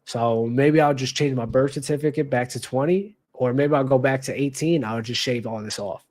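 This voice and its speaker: A man's voice: medium pitch, normal speed, a little mellow. A young adult man hosting a basketball talk show, giving blunt casual opinion commentary with slang and lots of repetition for emphasis.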